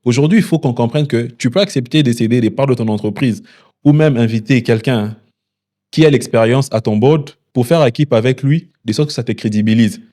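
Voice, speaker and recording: A man, a startup founder answering interview questions in a measured way with many hesitations. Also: medium pitch, dark tone. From DR Congo. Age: 20s. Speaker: founder and CEO of a delivery startup.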